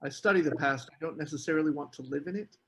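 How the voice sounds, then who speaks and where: A man's voice: on the high side, fast talker, fairly bright tone, low-quality recording. A man playing a prickly, snobbish, awkward American academic with a dry manner, in a screenplay table read. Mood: defensive.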